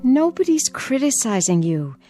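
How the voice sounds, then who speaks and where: An actress playing an anxious, caring granddaughter, speaking earnestly and pleadingly in a radio drama. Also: female voice, high-pitched, very animated, moderate pace, warm tone. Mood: pleading, gentle.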